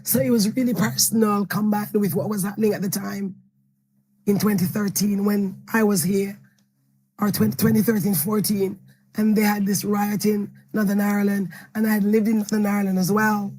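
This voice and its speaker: Hoarse Jamaican woman author with a flat, even delivery and rambling answers, faint hiss behind. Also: medium pitch, moderate pace, low-quality recording. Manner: rambling, self-correcting storytelling. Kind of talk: interview answer at a book event.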